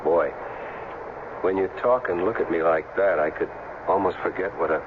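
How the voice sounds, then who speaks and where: A man's voice: low-pitched, normal speed, noisy background, lightly acted. A man acting a wry, curious ex-football player who narrates and talks in a casual way in a 1970s radio drama. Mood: curious, probing. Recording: tinny vintage radio over music.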